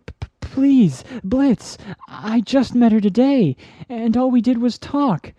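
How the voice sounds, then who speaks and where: A woman voicing a shy, gentle young male pegasus pony who hesitates and stammers in a fan-made audio story. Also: fairly high pitch, expressive, slow pace, no room sound. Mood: flustered. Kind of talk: fan fiction audio drama.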